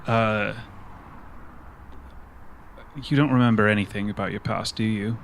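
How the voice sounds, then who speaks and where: A man's voice: lowish voice, fairly even intonation, measured pace, gravelly, a little hiss or hum. A man roleplaying a caring investigator who speaks hesitantly and carefully. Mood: hesitant, concerned.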